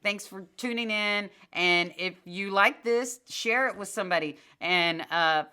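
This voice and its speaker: An older woman co-hosting a casual church podcast, giving plainspoken, motherly advice in a fairly monotone voice. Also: medium pitch, a little slow, smooth. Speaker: podcast co-host.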